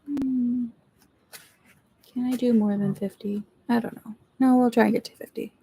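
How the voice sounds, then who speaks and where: A woman's voice: on the high side, fairly even intonation, moderate pace, vocal fry, dark tone, breathy edge, rough recording. A woman who is not a native English speaker, chatting casually and thinking aloud during a live reading session at home. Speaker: book streamer. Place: at home, seated in a chair.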